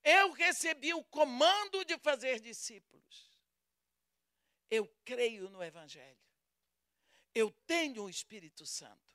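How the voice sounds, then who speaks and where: A woman's voice: high-pitched, lively intonation, measured pace, long pauses, pushed and bright, dry recording, studio quality. A woman with a Brazilian Portuguese accent preaching a sermon, retelling a story with dramatic voices for the quoted lines.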